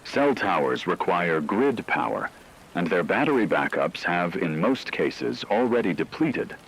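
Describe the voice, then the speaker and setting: A man playing a grave radio anchor who delivers scripted news of a global catastrophe in a solemn, measured, formal way. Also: low voice, big pitch swings, a bit bright, a little hiss or hum, heavily acted, rough recording. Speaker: emergency broadcast announcer.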